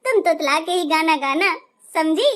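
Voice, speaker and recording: A woman plays a fussy cartoon mother-in-law with a very high, small voice. She is anxious and defensive, forever smoothing things over in a comic household skit. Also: animated, normal speed, a bit bright. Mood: covering up. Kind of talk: comic family skit acting.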